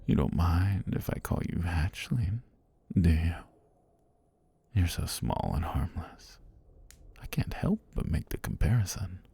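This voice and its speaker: A man performs an ancient male serpent god with a low, dark voice. He speaks in formal, whispery phrases that rise and fall, intimate as ASMR. He is calm and tender, with a predatory edge. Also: full character voice. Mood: amused, tender. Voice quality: husky, breathy low voice. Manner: slow, deliberate, formal speech with whispery delivery. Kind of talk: intimate ASMR roleplay monologue.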